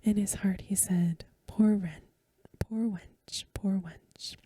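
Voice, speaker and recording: Woman doing asmr story reading, super breathy whisper, soft n gentle, close dry mic. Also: medium pitch, fairly even intonation, no echo. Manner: hushed, gentle, unhurried storytelling. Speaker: ASMR reader.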